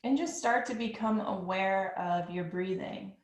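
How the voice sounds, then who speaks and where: A woman leads a yoga breathing practice in an unhurried, soothing way. Her voice sits at an ordinary middle pitch. The room around her has a noticeable echo. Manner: slow, calm, soothing guidance. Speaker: yoga instructor. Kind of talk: guided yoga breathing instruction. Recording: echoey room.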